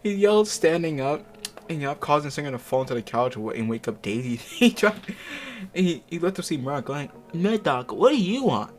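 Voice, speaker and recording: A man's voice: a little high, expressive, fairly quick. A man doing a casual read-aloud of amateur fiction, breaking off for slangy, profane, incredulous commentary. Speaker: amateur fanfic reader and commentator. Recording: music behind the voice. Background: music.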